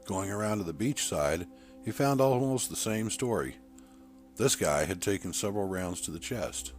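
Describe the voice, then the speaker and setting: A man's voice: fairly low pitch, expressive, normal speed. A man reading a short story aloud as a scripted audiobook narrator. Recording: music bed under voice. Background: background music.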